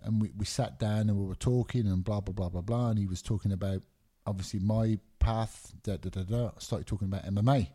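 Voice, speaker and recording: A man's voice: on the low side, fairly even intonation, fairly quick, hardly any pauses, mellow. A middle-aged man, born in Scotland and raised in England, talking candidly as a guest on a casual podcast, rambling with lots of fillers. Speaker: MMA referee, guest.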